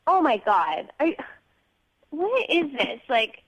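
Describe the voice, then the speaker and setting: A woman's voice: high-pitched, very animated, takes it slowly, fairly bright tone. A young woman calling in to a radio dating segment, speaking casually and defensively with lots of "like", over a phone line. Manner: defensive, indignant, frequent filler 'like'. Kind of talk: argumentative casual phone conversation. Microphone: telephone. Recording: muffled, band-limited radio phone line.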